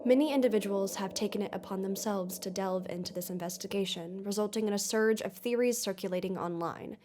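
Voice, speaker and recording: A composed investigative podcast host played by a woman, speaking at a middle pitch. She is formal and measured, narrating and questioning as in a scripted audio documentary. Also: darkish tone, slight background noise, close to an everyday voice. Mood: measured, serious.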